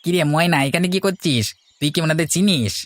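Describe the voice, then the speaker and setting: A man's voice: fairly high pitch, expressive, brisk. A man playing a poor, hardworking, world-weary village fisherman in a cartoon fairy tale. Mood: matter-of-fact.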